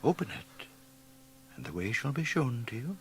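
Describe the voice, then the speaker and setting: An actor playing a sinister, tempting inner voice of a gentleman in a radio drama, eloquent and insinuating. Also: medium pitch, sing-song, moderate pace, close to an everyday voice. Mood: coaxing command. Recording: faint background noise.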